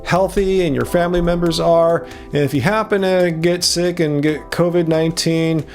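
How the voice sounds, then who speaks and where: Gravelly-voiced American man, slightly high, earnest casual vlogger. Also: fairly even intonation, moderate pace, faint music behind. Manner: earnest and explanatory, with frequent restarts. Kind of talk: casual vlog talk to camera.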